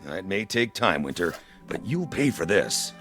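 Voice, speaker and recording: An actor playing a blustering, threatening rival newspaper boss in a radio drama, blunt and pompous. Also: male voice, low-pitched, very animated, measured pace, a bit bright, faint music behind, heavily acted. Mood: angry accusation.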